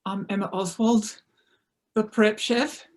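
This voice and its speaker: A woman's voice: medium pitch, steady tone, takes it slowly, a little mellow, lightly acted. A woman at a table read playing a frightened young pregnant chef in her twenties. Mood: shaken.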